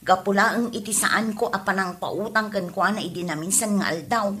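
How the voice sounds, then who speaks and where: A woman's voice: medium pitch, normal speed, pushed and bright, natural voice. A woman with an Ilocano accent playing a sassy, gossiping village friend in a comedy audio drama, indignant and teasing.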